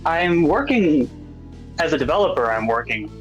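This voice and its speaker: A man's voice: on the high side, big pitch swings, normal speed, dull audio, faint music behind. A man being interviewed as a new voice actor and artist, chatting in an easygoing, slightly hesitant way. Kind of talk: casual chat, recounting how they got involved.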